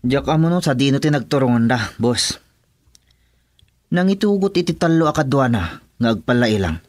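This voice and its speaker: A man acts a loyal henchman in an Ilocano radio drama, in a medium-pitched voice recorded close and dry. He speaks respectfully and obediently as a crime boss's man, with an Ilocano accent. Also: sing-song, moderate pace, no room sound, lightly acted. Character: deferential henchman of a crime boss, assuring loyalty.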